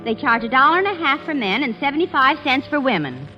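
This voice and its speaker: A woman's voice: sits high, big pitch swings, quick pace, soft background music. An actress playing a pleading, spirited young woman in a 1940s radio comedy, on a muffled broadcast. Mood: coaxing.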